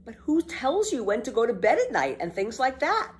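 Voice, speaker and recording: Timid little girl, a woman acting, storybook, proper, anxious, earnest, hesitant, raised pitch. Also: big pitch swings, normal speed. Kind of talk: children's storybook read-aloud.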